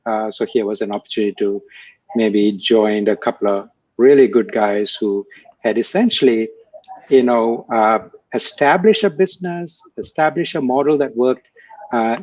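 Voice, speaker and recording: Man, measured and dry-sounding. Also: mid-range voice, darkish tone, no echo, muffled recording. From Malaysia. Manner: measured, hesitant with many fillers. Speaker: guest, former startup executive. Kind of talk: conversational interview answer.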